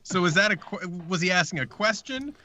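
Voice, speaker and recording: A man's voice: high-pitched, expressive, a little fast, pushed and bright. A man in his thirties chatting casually as a guest in a live interview, often restarting his sentences as he explains. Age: 30s. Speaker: audio drama producer, interview guest.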